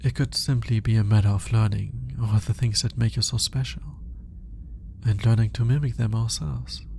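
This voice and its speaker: A man's voice: mid-range voice, creaky, dark tone, slight background noise, lightly acted. A man playing an awkward, analytical alien scientist in an intimate ASMR roleplay, speaking in formal, hesitant musings. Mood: musing, self-doubting. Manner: hesitant, formal, articulate musing with sighs and pauses. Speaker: voice actor.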